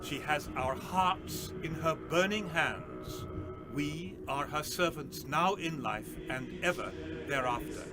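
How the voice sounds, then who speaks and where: An actor in a radio drama playing a fanatical, sinister cult leader with a theatrical, unctuous, preaching manner. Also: male voice, on the high side, takes it slowly, over music. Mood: solemn fervour.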